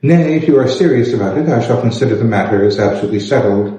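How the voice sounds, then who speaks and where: Snobbish young lady of society performed by a man, arch and haughty, mellow storybook delivery, very roomy recording. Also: mid-range voice, lively intonation, fast talker, natural voice. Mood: mocking astonishment. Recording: echoey room, slightly dull sound.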